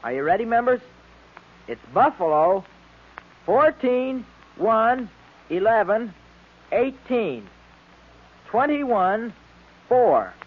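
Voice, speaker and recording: A man's voice: high-pitched, big pitch swings, slow pace, pauses often, heavily acted. A male performer playing an eager, admiring boy sidekick in an old radio serial, emphatic and full of gee-whiz enthusiasm. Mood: eager, proud. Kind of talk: 1930s-40s radio serial acting. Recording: muffled vintage radio serial recording.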